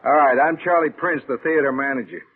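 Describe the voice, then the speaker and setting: Actor as husky, brusque theater boss. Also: male voice, medium pitch, brisk, lightly acted. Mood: gruff, doubtful. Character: gruff, skeptical male vaudeville theater manager. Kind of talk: old-time radio drama acting. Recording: tinny, band-limited old radio recording.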